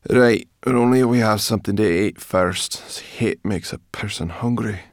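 Storybook creature: furry young brownie girl, acted by a man. Gruff, growly, greedy, scornful, raised pitch with a creaky edge. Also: normal speed, dry recording, studio quality, lightly acted. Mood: grumpy, hungry.

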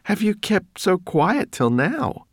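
A man's voice: deep voice, moderate pace, creaky, no echo, studio quality. A man voicing a kind, sensible young boy who speaks plainly, earnestly and protectively in a storybook. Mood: baffled.

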